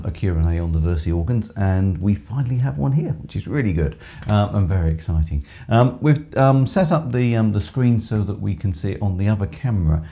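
A middle-aged man with a hoarse voice, chatting on a live stream from a small music shop studio, reading out viewer comments with lots of ums. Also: fairly low pitch, dark tone. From England. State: hoarse from screaming. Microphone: headset with microphone.

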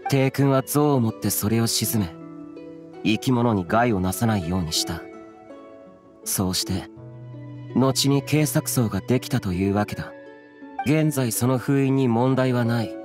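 Immortal warrior for a game , male actor: medium pitch, solemn, distant, terse, old-fashioned diction. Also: a little slow, pauses often, close to an everyday voice. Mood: solemn explaining. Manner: archaic, grave, clipped pronouncements. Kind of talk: video game voice acting. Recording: background music under the voice.

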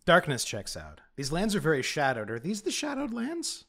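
A man's voice: on the high side, wildly swooping pitch, moderate pace, gravelly, sharp tone. A man playing a tabletop role-playing game, chatting casually and jokily in and out of character, rambling a little. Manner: wry, rambling, jokey.

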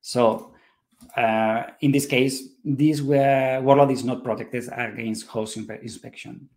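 A man's voice: medium pitch, fairly even intonation, takes it slowly, darkish tone. Hesitant man giving a technical talk. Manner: hesitant, many fillers and restarts. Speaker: conference presenter. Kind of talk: technical talk with live demo.

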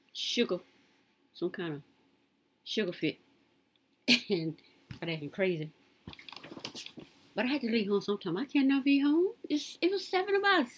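A woman in her late fifties rambling through her life story in a casual, lively way. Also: breathy edge. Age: 50s. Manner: lively, swoops high when quoting others.

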